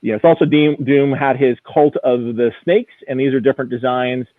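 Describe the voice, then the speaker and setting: A man's voice: medium pitch, steady tone, brisk. Man, phone-like narrow sound, dry with no echo, casual explanatory talk, enthusiastic guest. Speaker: auction house executive guest.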